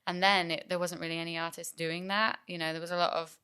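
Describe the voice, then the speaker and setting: Young english woman chatting, low voice but bright and clear, lots of ums. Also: level tone, quick pace. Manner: chatty, many fillers and restarts. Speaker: musician guest. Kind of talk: casual interview chat.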